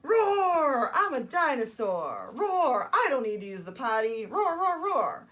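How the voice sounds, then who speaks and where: A woman voicing a boisterous, stubborn little boy dinosaur from a picture book, full of loud exclamatory roars. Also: high-pitched, very animated, slow pace, voice pushed up, exaggerated voice. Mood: boisterous, defiant.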